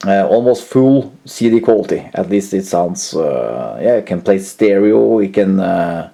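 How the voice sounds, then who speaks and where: Halting low-voiced man with lively, swinging pitch. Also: vocal fry. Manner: halting, hesitant, searching for words. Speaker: host of a retro computer channel. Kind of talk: casual unscripted tech demonstration.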